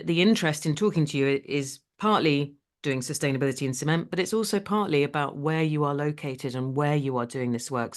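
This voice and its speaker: A woman hosting a podcast, asking questions in a chatty, animated way. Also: deep voice. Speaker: podcast host. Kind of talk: podcast interview.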